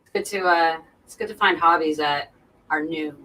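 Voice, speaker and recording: A woman's voice: sits low, moderate pace, pushed and bright. A woman chatting casually as a guest on a livestream, heard through distant-sounding call audio. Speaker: guest in the live chat. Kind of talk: casual chat.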